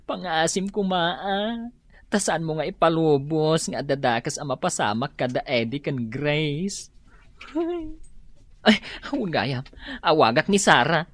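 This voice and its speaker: A woman's voice: deep voice, lively intonation, measured pace, no echo. A woman with a Filipino accent playing an anxious, flustered friend in an Ilocano radio drama, full of exclamations and sighing laments. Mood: sorrowful pleading.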